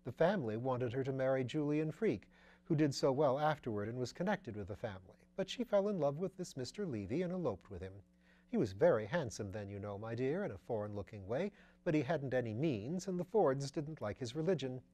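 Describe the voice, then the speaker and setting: A man's voice: lively intonation, a little fast, warm tone, close to an everyday voice. A chattering elderly duchess, voiced by a man in a storybook reading, running one bit of gossip into the next with lifted, fluttery phrases. She is kindly, scatterbrained and never stops for breath. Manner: breathless rambling gossip, lifting high on phrases.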